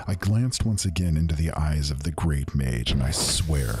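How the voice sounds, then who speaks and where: Very deep, gravelly-raspy male narrator. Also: lively intonation, a little mellow. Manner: measured, reflective storytelling. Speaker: storyteller. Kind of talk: first-person fantasy narration. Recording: faint music behind.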